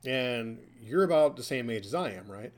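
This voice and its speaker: A man's voice: medium pitch, animated, moderate pace. A man in his forties hosting a podcast from a hotel room, chatty and joking with his co-host. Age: 40s. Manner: joking, chatty, playful ribbing. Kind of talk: casual podcast chat.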